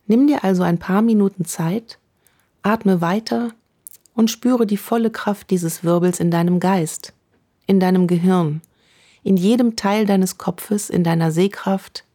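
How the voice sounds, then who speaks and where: A woman guiding a meditation in a slow, calm, soothing voice, speaking affirmations to the listener. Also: mid-range voice. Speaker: meditation guide. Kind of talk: guided meditation.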